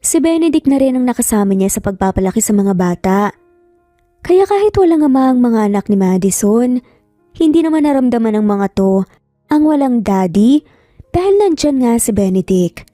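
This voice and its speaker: A Filipino woman narrates a romance drama in a high-pitched, mellow voice. She reads from a script as a storyteller. The sound is roomy, with faint music underneath. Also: studio quality.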